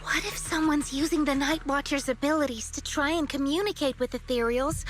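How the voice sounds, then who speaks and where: A woman voicing a gentle, earnest young female specialist in a video game, explaining things thoughtfully. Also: high voice, fairly even intonation, brisk, natural voice. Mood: explanatory, focused. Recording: music behind the voice. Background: game music.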